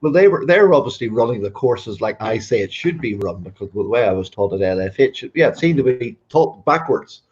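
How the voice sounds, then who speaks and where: A man's voice: medium pitch, lively intonation, a little fast, few pauses, darkish tone. A man hosting an interview, asking questions in a hesitant way and chiming in with short agreements. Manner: hesitant, affirming with short backchannels. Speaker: host, podiatrist. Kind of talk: casual interview. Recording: dull lo-fi audio.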